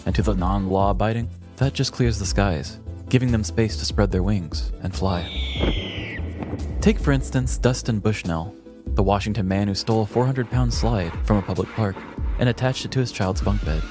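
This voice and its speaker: A man's voice: fairly low pitch, normal speed, over music. A man co-hosting a comedy podcast, moving between casual banter and scripted humorous storytelling.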